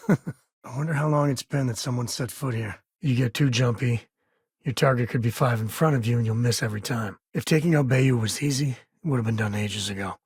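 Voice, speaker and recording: A very raspy, dry-recorded middle-aged man of the space frontier. He is a devoted father and easygoing explorer who talks in a folksy, wry way, naturally and without fuss. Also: mid-range voice, a little fast, dry recording. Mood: grave, reflective. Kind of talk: video game voice acting, naturalistic dialogue.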